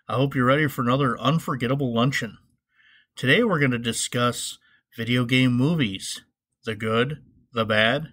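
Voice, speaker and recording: A man's voice: medium pitch, sharp tone. A man hosting a podcast solo, giving casual, wry commentary with an informal, joking manner. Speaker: podcast host.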